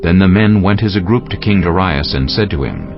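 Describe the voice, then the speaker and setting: A man's voice: low-pitched, expressive, a little fast. A man narrating a dramatized scripture story as a scripted reading. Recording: muffled audio with music bed.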